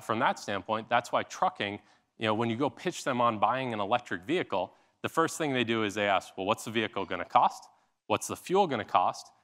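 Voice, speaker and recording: A man's voice: fairly low pitch, fairly bright tone. An American man in his thirties giving a keynote on stage in an explanatory, conversational manner, often posing rhetorical questions. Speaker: company founder and CEO giving a keynote. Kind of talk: keynote presentation. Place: large indoor stage at a summit. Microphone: clip-on lapel mic.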